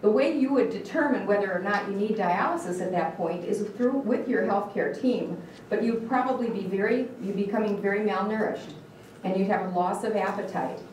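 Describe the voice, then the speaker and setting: A woman's voice: mid-range voice, a little fast, darkish tone, slight background noise. Michigan woman lecturing in an echoey room. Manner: earnest, questions the audience, restarts words. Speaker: dietitian giving a talk. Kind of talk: live educational talk to an audience. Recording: echoey room picked up from a distance.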